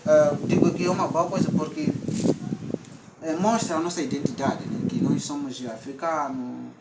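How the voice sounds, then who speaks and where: A man's voice: a little high, fairly even intonation, moderate pace, roomy sound, far from the mic, very noisy. A man from Mozambique with a central Mozambican accent, hosting a casual vlog chat and switching between English and Portuguese with many fillers. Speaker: vlog host.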